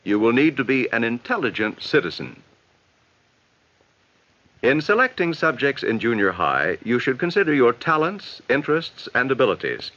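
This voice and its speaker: An American man reading scripted, instructive narration in a measured, advising way for a 1960s educational film. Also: mid-range voice, very animated, sharp tone. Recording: dull vintage 1960s film soundtrack.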